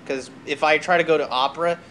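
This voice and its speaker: A somewhat high-voiced man hosts a casual stream over faint background noise. He rambles from one aside to another, dropping academic words into loose chat. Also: steady tone, fast, fairly bright tone. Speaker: streamer and host.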